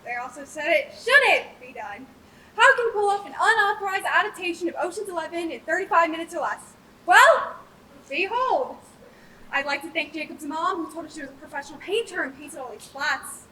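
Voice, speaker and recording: Teenage girl acting as a flustered, very high-voiced stage director. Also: lively intonation, a little fast, sharp tone, exaggerated voice. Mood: exasperated warning, then sarcastic. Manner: sarcastic, exasperated. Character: flustered, exasperated young stage director introducing a disastrous school play. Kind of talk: comedic stage monologue to an audience. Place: theatre stage at a competition. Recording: distant stage microphone, faint noise.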